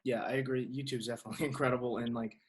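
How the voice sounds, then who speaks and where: A man hosting a podcast, talking casually and reflectively in thoughtful, halting phrases. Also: mid-range voice, little pitch movement. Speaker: podcast host. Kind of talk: casual reflective interview talk.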